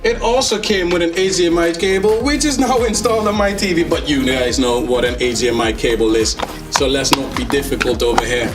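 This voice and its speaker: Playful man with a higher-pitched voice, music behind. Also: fairly quick. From Netherlands. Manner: playful, enthusiastic, jokey, slangy. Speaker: tech reviewer, video host. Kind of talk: casual unboxing commentary.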